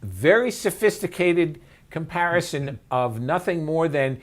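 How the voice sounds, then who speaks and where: A man's voice: fairly high pitch, expressive, measured pace. A man speaking as an expert interview guest, emphatic and conversational, with rhetorical questions and folksy asides. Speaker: physician and author, interview guest.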